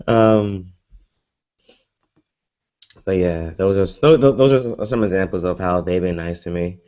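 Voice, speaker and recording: A man's voice: low-pitched, takes it slowly, pauses often, mellow, muffled recording. A young American man chatting casually in a live Q&A vlog, rambling with many fillers and restarts. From United States. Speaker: vlogger.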